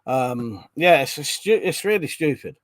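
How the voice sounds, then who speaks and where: A man's voice: fairly high pitch, lively intonation, unhurried, no room sound. A man hosting a live stream, reading out viewer comments in a rambling, chatty way, congested from a cold and coughing now and then. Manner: chatty, rambling, frequent ums and restarts.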